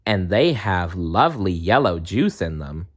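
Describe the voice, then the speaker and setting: Male reader as a storybook chaffinch, explanatory, unhurried, lively intonation. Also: sits low, highs cut off, heavily acted. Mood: explaining. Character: informative chaffinch explaining things. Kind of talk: storybook read-aloud.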